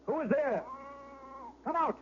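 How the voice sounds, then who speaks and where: An actor playing a cruel, imperious duke in a 1970s radio drama, threatening and commanding. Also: male voice, very high voice, expressive, measured pace. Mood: angry, suspicious. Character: cruel, menacing duke bullying a shepherd boy. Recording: narrowband vintage radio recording with faint noise.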